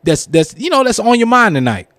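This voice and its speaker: Animated, higher-voiced man hosting live radio. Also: brisk, dry recording. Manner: informal, slangy, chuckles while talking. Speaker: radio show host.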